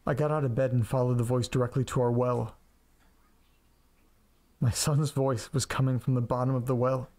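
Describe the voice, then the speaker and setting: A male actor plays a quirky, scatterbrained man in an audio drama. His voice is mid-pitched with a noticeable gravelly creak. He talks casually and haltingly, like an ordinary guy at home. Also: level tone, a little mellow, natural voice. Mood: grave, haunted. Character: quirky, scatterbrained man living with pets and old letters. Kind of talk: audio drama acting, first-person narration and casual dialogue.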